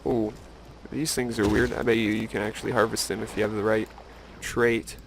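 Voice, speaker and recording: A man's voice: lowish voice, level tone, normal speed, creaky, slight background noise. A man giving casual, rambling game commentary with musing, wry asides to the viewer. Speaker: gameplay video host.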